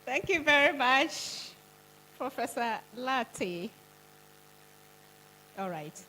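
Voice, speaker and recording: A woman moderates a conference session in a bright voice pitched a little high. She moves briskly and directs the floor, with a faint hum under her. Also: expressive, low-quality recording. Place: conference hall.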